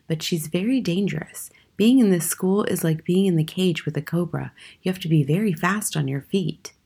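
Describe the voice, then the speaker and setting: A woman's voice: fairly low pitch, moderate pace, vocal fry, warm tone, husky. Knowing young schoolgirl read by an adult woman, confident, emphatic, matter-of-fact storybook dialogue. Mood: serious warning. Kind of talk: children's audiobook character voice.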